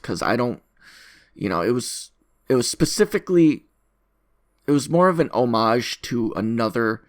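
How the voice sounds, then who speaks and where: Low-voiced man rambling casually. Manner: rambling, self-deprecating, repeats phrases. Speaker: content creator recording an audio log. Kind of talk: casual unscripted monologue.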